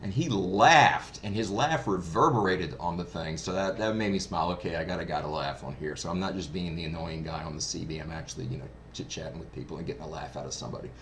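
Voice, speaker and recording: Male hobbyist reviewer, low-pitched, informal and keen, telling anecdotes with noticeable ambient noise behind. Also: quick pace, hardly any pauses, fairly bright tone. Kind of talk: casual first-hand review vlog. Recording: clearly noisy background ambience.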